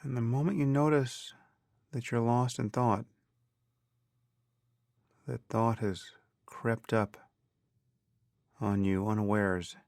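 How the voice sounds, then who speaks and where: A man guiding a meditation as a teacher, speaking calmly and deliberately in measured phrases with pauses. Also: medium pitch, vocal fry, no echo, clean studio sound. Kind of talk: guided meditation narration.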